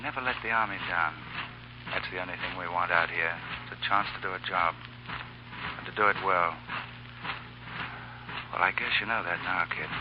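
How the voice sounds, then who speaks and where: A man's voice: low voice, moderate pace, pauses often, close to an everyday voice. A man playing an earnest, weary soldier in a 1930s radio drama. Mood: solemn, earnest. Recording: noisy, muffled old radio recording.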